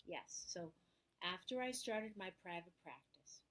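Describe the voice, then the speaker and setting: A middle-aged woman with a New York accent, a therapist guest telling her personal story in an interview, animated and acting out the voices she quotes. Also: fairly low pitch, measured pace.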